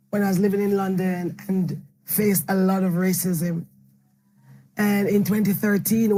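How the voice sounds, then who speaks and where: Hoarse Jamaican woman author. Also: mid-range voice, mellow, a little hiss or hum, poor audio. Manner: rambling, self-correcting storytelling. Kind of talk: interview answer at a book event.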